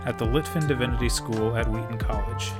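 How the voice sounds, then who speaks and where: A man in his thirties hosts a podcast, reading his introduction in a measured, earnest way. A music bed plays clearly beneath his voice. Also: medium pitch, fairly even intonation. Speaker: podcast host. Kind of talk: scripted podcast introduction and interview.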